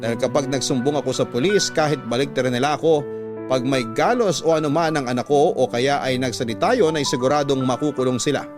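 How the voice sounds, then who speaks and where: A man's voice: medium pitch, fast. A man narrating a listener's letter in the first person as a dramatic radio storyteller. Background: background music.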